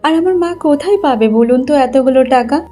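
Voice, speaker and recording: Casting: woman as timid, pleading young daughter-in-law for a cartoon drama, high-pitched and submissive. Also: fast talker, mellow, faint music behind, lightly acted. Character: meek, pleading young bride from poor family. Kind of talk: cartoon moral story acting.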